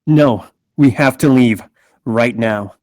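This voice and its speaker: A man's voice: medium pitch, slow, a little mellow, no echo, studio quality, natural voice. A man at a script read playing an earnest, lovestruck man who speaks in terse, insistent lines. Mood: urgent. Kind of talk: screenplay table read acting.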